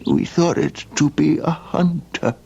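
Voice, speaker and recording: An actor playing a slow, excitable old monastery brother, stammering and halting in old-time radio drama style. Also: male voice, a little high, creaky, exaggerated voice. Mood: halting, recounting. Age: older. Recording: dull, noisy vintage radio recording.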